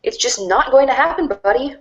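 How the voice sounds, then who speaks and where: A woman playing a sassy storybook narrator who talks back to commands, expressive and sardonic in read-along style. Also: high voice, fairly quick. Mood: stubborn.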